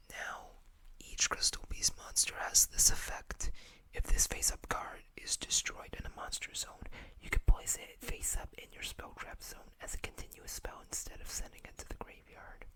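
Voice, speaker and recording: Asmr person whispering super close to a read-aloud, unhurried, bright crisp whisper, little asides. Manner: unhurried, reading card text aloud with small asides. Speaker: ASMR channel host. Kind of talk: whispered ASMR card showcase.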